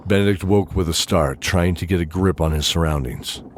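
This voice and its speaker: A man narrating a scripted fantasy drama in measured, dramatic phrases with deliberate pauses. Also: low voice, noisy background.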